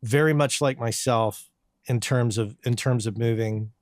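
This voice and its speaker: A man from southern New Mexico hosts a podcast interview at a medium pitch. His voice is recorded dry with no room sound, and he talks in a friendly, loose way, often restarting his phrases. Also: unhurried, dry recording. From southern New Mexico, USA. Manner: friendly, repeats words as he talks. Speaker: podcast host. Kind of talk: casual interview chat.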